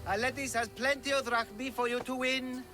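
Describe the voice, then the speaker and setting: A man's voice: very high voice, steady tone, brisk, pushed and bright, soft background music. An actor playing a nervous male bookmaker in a video game, hesitant and pleading. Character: anxious male bookmaker, fretting over bets. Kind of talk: video game cutscene acting.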